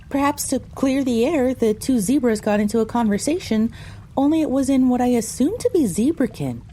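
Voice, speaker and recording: A woman's voice: fairly high pitch, mellow, slight background noise, close to an everyday voice. A woman voicing a pony who narrates her own story, reading scripted first-person audio drama narration. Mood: reflective.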